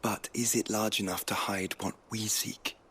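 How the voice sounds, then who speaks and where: An actor with a British accent playing a thoughtful, cautious young nobleman in a fantasy game, speaking in a measured, formal way. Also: fairly low pitch, level tone, fairly bright tone, slightly rough. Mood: pondering.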